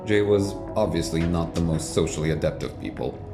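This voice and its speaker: A man narrates a science fiction audio drama in a low, deep voice. Music plays clearly behind his scripted storytelling. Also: fairly quick.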